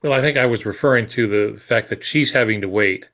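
A man's voice: lowish voice, fairly quick, a bit bright. An American man in his sixties chatting casually as a podcast co-host on a video call. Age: 60s. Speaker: podcast co-host, fiction writer. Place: home office, on a video call.

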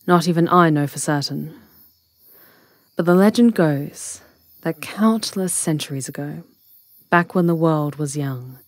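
Woman playing ancient witch, low pitch, dry recording, wry and wise, intimate theatrical fantasy ASMR delivery. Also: darkish tone, no room sound. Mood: teasing, fond.

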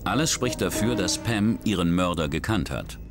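A man's voice: low voice, expressive, moderate pace. A man reading the scripted narration of a true crime documentary in a dramatic, suspenseful way. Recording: voice-over with music bed.

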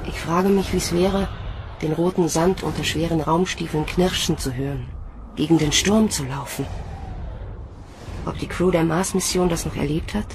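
A woman's voice: low voice, normal speed, noisy background. A woman playing a stubborn but insecure young female recruit in a German science fiction radio drama. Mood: brooding.

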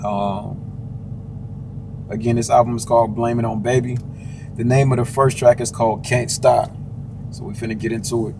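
A man hosting a casual music review channel, talking in a relaxed, slangy way with filler words. His delivery stays level and flat in pitch. A steady noisy ambience sits behind his voice. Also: moderate pace, long pauses. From Washington State, US. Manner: laid-back, slangy, with fillers. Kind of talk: casual album reaction chat. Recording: noisy background.

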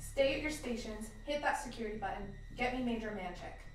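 A woman plays a commanding mission control operator in a radio play. Her voice is fairly high and clipped, set back from the mic in a very echoey room with faint noise. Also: a little fast, distant mic, close to an everyday voice. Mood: urgent. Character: brisk female military mission control operator. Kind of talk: radio drama acting.

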